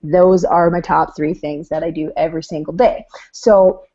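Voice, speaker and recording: A woman's voice: sits low, fairly even intonation, moderate pace, mellow. A woman mentor sharing practical task-planning tips in a casual video-call chat. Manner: practical, listing tasks plainly. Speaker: mentor on a live video hangout. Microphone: webcam on a video call. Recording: dull webcam audio.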